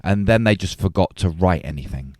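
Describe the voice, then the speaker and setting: Male podcast co-host, very low voice, dry close sound, casual and emphatic film chat. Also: lively intonation, a little fast, a little mellow, no room sound.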